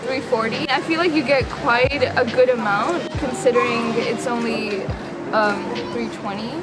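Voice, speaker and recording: A woman's voice: fairly high pitch, fairly even intonation, measured pace, over loud music, poor audio. A young woman, a student co-host, casually explaining her opinions in an informal review vlog. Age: young adult. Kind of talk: casual chat.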